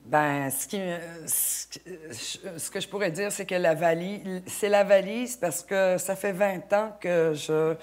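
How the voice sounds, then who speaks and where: A middle-aged woman talks as a guest on an interview show. She speaks in a halting way, restarting and repeating words as she gathers her thoughts. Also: on the low side, a little mellow. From Acadia, Canada. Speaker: actress, guest. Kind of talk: casual interview chat.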